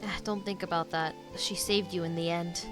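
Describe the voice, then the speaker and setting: A woman streamer giving casual reactions to a game in short, slangy bursts. Her voice sits a little low for a woman. Game music plays underneath her. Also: steady tone, moderate pace.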